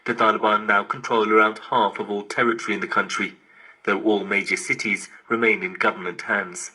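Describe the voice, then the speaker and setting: A man's voice: fairly low pitch, fairly even intonation, fairly quick, bright, forceful tone, slightly rough, rough recording. A man delivering a scripted news report as a correspondent, over digital shortwave radio.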